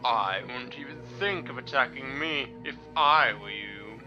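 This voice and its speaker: Arrogant god of darkness played by a man, very slow and menacing, forceful edge, music behind. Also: mid-range voice, dull audio, lightly acted. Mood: haughty, threatening. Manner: slow, scoffing, threatening. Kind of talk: dramatic audio story reading.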